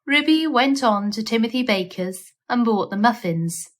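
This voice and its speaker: A woman narrating a children's storybook aloud in a measured, expressive read-aloud style. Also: fairly high pitch. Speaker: storyteller reading a children's book.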